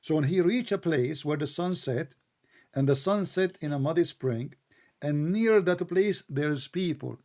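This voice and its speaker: A man's voice: fairly high pitch, unhurried, a little mellow. A man who is a native Arabic speaker with an Arabic accent, debating religion live as host, insistently questioning and repeating his points.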